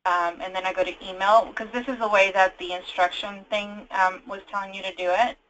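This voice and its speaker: A woman on a conference call casually explaining a software problem as a trainee, informal and a little hesitant. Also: mid-range voice, fairly even intonation, fairly quick, a little hiss or hum, poor audio. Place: conference call with screen share.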